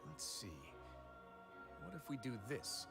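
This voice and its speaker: An actor playing a composed, calculating nobleman investigator in a video game, measured and authoritative. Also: mid-range voice, sing-song, gravelly, exaggerated voice. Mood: thoughtful. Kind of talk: dramatic video game voice acting. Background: game music.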